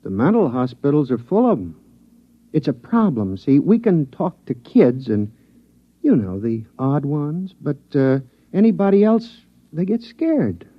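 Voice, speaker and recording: An actor playing a meek, folksy talking male mouse with a wife and family, in an old radio drama. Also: measured pace. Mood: matter-of-fact. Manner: plaintive, folksy, lively pitch. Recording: dull old radio recording.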